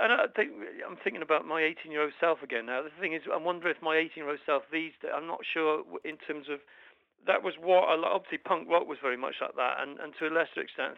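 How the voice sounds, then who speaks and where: Gravelly, halting man. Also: a little high, dry recording, lo-fi sound. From Wales. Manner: halting, full of restarts and fillers. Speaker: musician guest. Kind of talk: casual interview chat.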